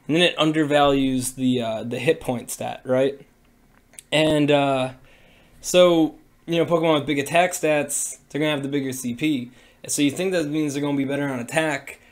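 Young male streamer, slightly high, raspy and hoarse from a cold, chatty and rambling, webcam sound in a small room. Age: young adult. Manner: rambling, many fillers and restarts. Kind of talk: casual livestream explainer. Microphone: webcam at desk.

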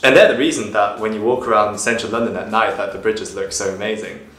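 A young man vlogging to camera from home, casually explaining photography step by step in a relaxed, chatty way. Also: on the low side, fast talker. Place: small room at home, indoors. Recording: echoey room.

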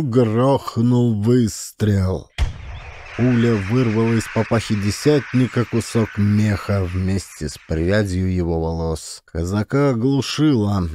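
A man's voice: medium pitch, warm tone, no room sound, studio quality. A man giving a dramatic scripted narration of an audiobook as a storyteller.

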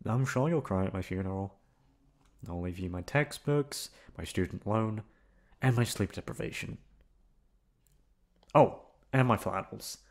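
Man acting as a low-voiced, playful college guy. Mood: mock-dramatic, teasing. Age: young adult.

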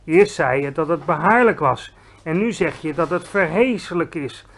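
A man voicing a polite, patient young girl in a storybook reading, asking questions calmly. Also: on the high side, normal speed.

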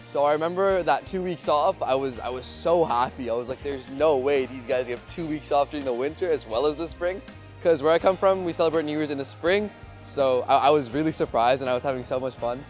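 A young man outdoors in a city plaza, telling a story in a halting way with many fillers, recorded on a lapel mic. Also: on the high side, faint music behind. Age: young adult. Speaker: street interviewee. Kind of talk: casual storytelling.

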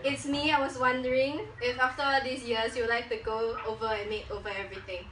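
A woman's voice: fairly high pitch, little pitch movement, fast. A young woman vlogger chatting casually to camera and making prank phone calls, with a giggly manner. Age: young adult. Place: small living room indoors. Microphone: camera a few steps away. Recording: roomy, noisy, distant camera microphone.

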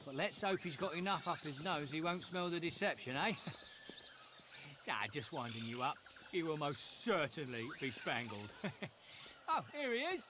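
A man's voice: on the high side, very animated, moderate pace, background noise. A man playing a brash, sly, hard-partying music manager with a British accent and cheeky slangy banter in a video game. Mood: cheeky, impatient. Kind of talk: video game cutscene acting.

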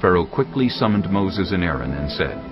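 A man with a very deep voice narrates scripture in a grave, measured reading. Clear music plays underneath, and the sound is a little dull. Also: sing-song.

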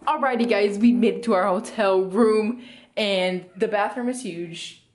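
A woman's voice: medium pitch, unhurried, a little hiss or hum. A teenage girl vlogging in a casual, chatty and giggly way, filming herself at arm's length around a resort hotel. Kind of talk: casual vlog chat. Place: resort hotel room and grounds. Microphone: camera held at arm's length.

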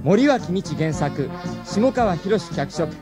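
A man formally announcing the title and credits of a radio drama in a measured way. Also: sits high, expressive. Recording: loud guitar music behind voice.

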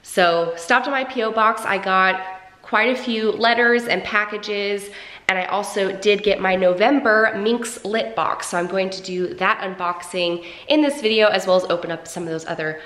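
A woman's voice: medium pitch, normal speed, a bit bright, echoey room. A young woman in her twenties, stuffed up and congested, chatting upbeat to camera at home for her vlog. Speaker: vlogger. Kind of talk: casual vlog talk to camera. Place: home, indoors. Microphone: camera mic at arm's length.

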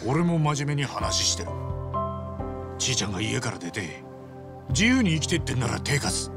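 抑揚の激しい、荒っぽく人情味のある元ヤクザの男. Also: male voice, mid-range voice, very animated, moderate pace, loud music behind the voice. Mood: earnest. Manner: rough, blunt, casual street speech. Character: rough, big-hearted, earnest former yakuza man. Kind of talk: video game cutscene drama acting.

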